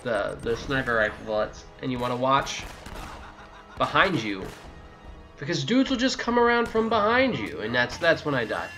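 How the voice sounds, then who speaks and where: A man's voice: mid-range voice, big pitch swings, moderate pace, sharp tone, faint music behind. A man giving a casual, sweary, exasperated video game walkthrough commentary.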